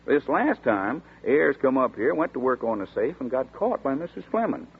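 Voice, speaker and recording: A folksy gravelly country deputy. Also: male voice, mid-range voice, sing-song, full character voice. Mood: storytelling. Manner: folksy rural dialect, chatty and slow-spoken. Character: folksy drawling small-town male deputy, middle-aged. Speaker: rural sheriff's deputy. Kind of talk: 1940s radio acting. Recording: tinny scratchy 1940s radio broadcast.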